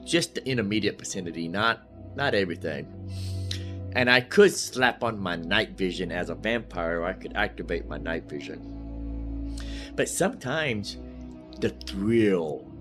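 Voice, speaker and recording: A man's voice: low voice, expressive, measured pace, over music. A man hosting a casual game livestream, rambling in a folksy way and repeating phrases for emphasis. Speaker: live streamer, gaming host.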